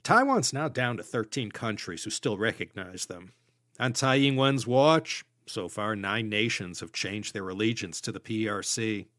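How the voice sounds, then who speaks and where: A man from the United States hosting a history podcast, reading scripted narration in a measured storytelling way with dry asides. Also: lowish voice, expressive, a bit bright, dry recording. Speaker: podcast host.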